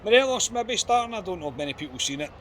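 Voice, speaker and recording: A Scottish man hosting a football podcast outdoors, talking in an animated, frustrated way. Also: high voice, slight background noise. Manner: animated, frustrated, quick restarts. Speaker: podcast host. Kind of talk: post-match fan reaction chat. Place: outdoors on a street.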